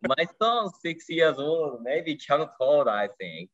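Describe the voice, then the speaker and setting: Excitable Japanese-accented man, slow broken phrases. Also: on the high side, lo-fi sound. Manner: excitable, short broken phrases, often laughing. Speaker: guest angler. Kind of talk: casual interview. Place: home room. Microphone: video call.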